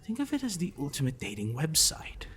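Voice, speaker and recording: A man playing a possessive, smitten professor in an intimate ASMR roleplay, wordy and clinical, with teasing, musing pauses. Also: on the high side, wildly swooping pitch, soft background music. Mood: lecturing, smitten.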